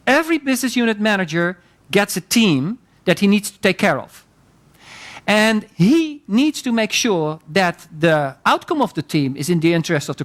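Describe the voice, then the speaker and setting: A man leading a seminar, teaching conversationally and often affirming participants. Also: high-pitched. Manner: conversational, frequent affirming interjections. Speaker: seminar lecturer.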